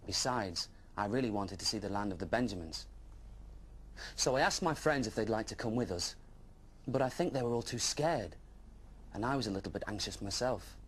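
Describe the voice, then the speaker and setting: A man's voice: lowish voice, lively intonation, moderate pace, slight background noise, natural voice. A man voicing an eager, wide-eyed young dragon who tells his adventure with childlike wonder in a children's storybook. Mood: excited.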